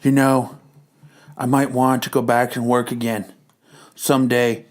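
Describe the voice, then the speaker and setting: A man's voice: medium pitch, flat delivery, a little slow, pitched below the performer's own voice, lightly acted. A man voicing a nagging comic-book wife as a boastful parody of a bombastic politician, stretching out emphatic superlatives. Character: boastful nagging wife, spoken as a Donald Trump-style parody. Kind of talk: comic dramatic reading.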